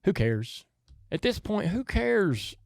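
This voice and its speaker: A man's voice: high voice, big pitch swings, slow, vocal fry, dry recording, studio quality. A man with a Southern US accent chatting casually about racing as a podcast host, in a rambling, folksy, easygoing way.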